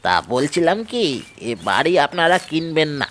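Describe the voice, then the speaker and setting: A man's voice: fairly high pitch, big pitch swings, measured pace, a bit bright, slight background noise. An actor playing a wary local servant guide in an audio horror story, hesitant and cautioning as he talks. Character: wary local manservant guide, gently warning visitors.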